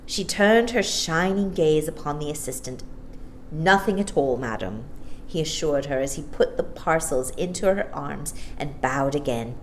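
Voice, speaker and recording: A woman's voice: deep voice, moderate pace, few pauses, slight background noise, low-quality recording. A woman narrating a children's story expressively from a script as a storyteller.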